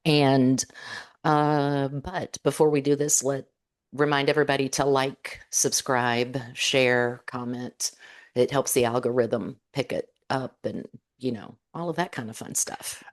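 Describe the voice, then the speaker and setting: A woman co-hosting a podcast in casual chat, her voice hoarse and raspy, upbeat and chatty. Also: extremely low pitch, fairly even intonation, unhurried. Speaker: podcast co-host.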